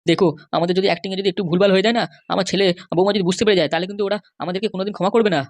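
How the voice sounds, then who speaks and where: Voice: mid-range voice, fairly even intonation, very fast, dry recording, lightly acted. A performer voicing a compliant but grumbling, worried Bengali husband and father in a comedy cartoon, with a placating, hesitant manner. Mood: cautious, grumbling. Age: middle-aged. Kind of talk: animated comedy cartoon dialogue.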